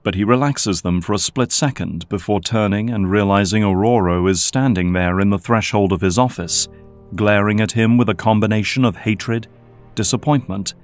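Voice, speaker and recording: Casting: male narrator for audio drama, low-pitched voice, scripted storytelling delivery. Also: normal speed, dry recording, soft background music.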